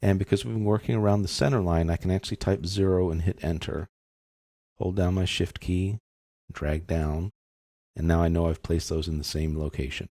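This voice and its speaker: A man narrating a software tutorial step by step, explaining in a casual, informal manner. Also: low voice, fairly even intonation, moderate pace, dry recording, clean studio sound.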